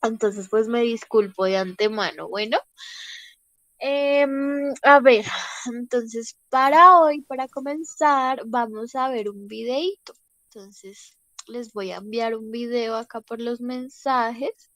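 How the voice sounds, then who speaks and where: A woman teacher talks slowly over a video call. Her voice sounds stuffed up and nasal from a cold and fades now and then. She pitches it fairly high and patiently pads her instructions with fillers, and the sound is dry and close. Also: a bit bright, no echo. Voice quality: voice sometimes fading. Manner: patient, unhurried, with many fillers. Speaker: English teacher. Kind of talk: online class instructions.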